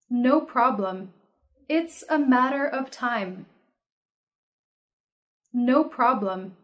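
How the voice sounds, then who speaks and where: Slow, careful young woman teaching English. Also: high-pitched, fairly bright tone. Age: young adult. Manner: slow, careful, clearly enunciated. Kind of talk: reading practice dialogues aloud, each line twice. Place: indoor studio with red curtain backdrop.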